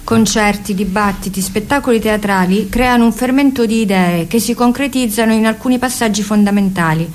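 A woman with an Italian accent reading a narrated account aloud in a steady, measured way for a radio broadcast. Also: mid-range voice, a little hiss or hum. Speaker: reader.

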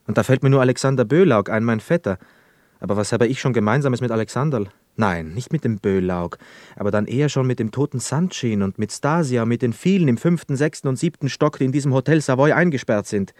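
A man's voice: mid-range voice, fairly quick, a little mellow, no echo, studio quality, close to an everyday voice. A man playing a lonely, self-absorbed young war veteran in a radio play, cool and melancholy yet earnest and insistent. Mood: reflective.

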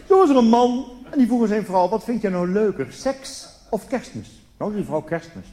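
Reverberant hall, male cabaret comedian, high-pitched voice, theatrical and mock-solemn delivery, live monologue. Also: sing-song, normal speed, warm tone, a little hiss or hum. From Netherlands. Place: theatre stage in a large hall.

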